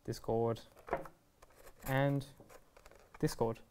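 A young man presents a how-to guide in a casual voice. He explains each step in an easygoing, reassuring manner, at a medium pitch. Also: flat delivery, very slow, darkish tone, a little hiss or hum. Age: 20s. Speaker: product reviewer and presenter. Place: indoor shop video studio, standing at a table.

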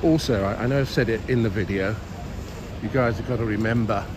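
A man's voice: fairly low pitch, brisk. Older man vlogging on a noisy street. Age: older, late sixties or above. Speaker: live-streaming city walk vlogger. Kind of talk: casual rambling chat to viewers while walking. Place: outdoors on busy city streets. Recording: clear street and traffic noise. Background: urban street ambience, vehicles.

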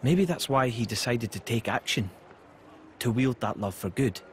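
An actor plays a thoughtful male warrior in a game. He explains things formally and calmly in a medium-pitched voice, with faint noise behind him. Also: normal speed, natural voice. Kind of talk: video game cutscene acting.

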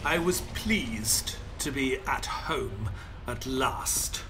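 An actor playing a proper, reflective middle-aged army doctor, narrating formally and with measure in a British accent. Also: male voice, medium pitch, animated, extremely slow, noisy background. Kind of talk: dramatic first-person scripted narration.

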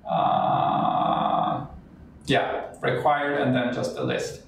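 A man's voice: medium pitch, big pitch swings, extremely slow, gravelly, heavy reverb, far from the mic, a little hiss or hum, rough recording. A man from Italy with an Italian accent, walking through a live coding demo and chatting as he thinks aloud while typing. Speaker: cloud developer presenter.

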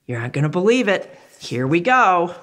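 Lively older woman reading to kids. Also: fairly low pitch, normal speed, noisy background. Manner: animated, exclamatory storyteller who asks the child questions. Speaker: children's book reader. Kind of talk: reading a picture book aloud to children.

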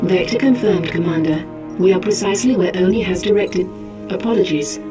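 A woman's voice: on the low side, fairly quick, lightly acted. A woman playing a polite military AI in a sci-fi game, formal and exact. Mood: calm, procedural. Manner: precise, even, formal. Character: calm, polite female military AI assistant. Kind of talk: sci-fi video game acting. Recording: game soundtrack music behind.

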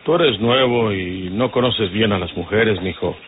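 An actor playing a domineering older outlaw boss in an old radio drama, with a rural northern Mexican accent and a folksy, commanding manner. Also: male voice, lowish voice, a little slow, lightly acted. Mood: stern, fatherly. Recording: noisy, tinny vintage radio recording.

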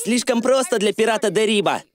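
A woman's voice: fairly high pitch, animated, brisk, close to an everyday voice. A woman voicing a clever, cautious, confident boy detective in a cartoon dub.

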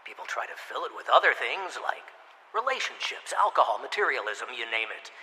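A man's voice: medium pitch, very animated, brisk, sharp tone, exaggerated voice. An actor playing a fervent male preacher delivering a declamatory, rhetorical sermon in a radio drama. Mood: fervent, exhorting. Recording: long hall-like reverb.

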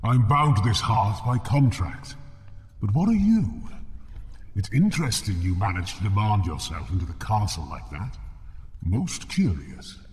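A sly fire demon from a radio play, bargaining in a low, swooping voice. He sounds wry and insinuating, wrapped in long reverb. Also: sing-song, a little slow. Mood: wry, curious. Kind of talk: radio drama acting.

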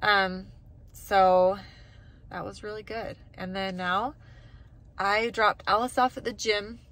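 Family vlogger, woman, 30s, in-car selfie talk, chatty storyteller, middle pitch. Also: steady tone, takes it slowly, fairly bright tone. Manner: chatty, acts out quoted voices animatedly. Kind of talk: casual vlog talk to camera. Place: parked car, daytime. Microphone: phone camera held close.

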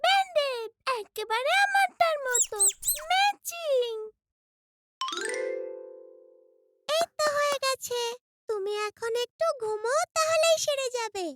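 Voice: very high voice, expressive, a little slow. An actor voicing a cheerful, caring little girl in a children's cartoon, speaking in simple, eager, childlike phrases. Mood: comforting. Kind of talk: animated children's cartoon dialogue. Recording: music behind the voice. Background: prominent music.

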